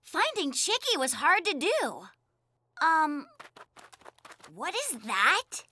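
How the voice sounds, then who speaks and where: Little polar bear cub, children's cartoon, very high pitch, slow pace, mild, deliberate. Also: wildly swooping pitch, noisy background, full character voice. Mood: puzzled.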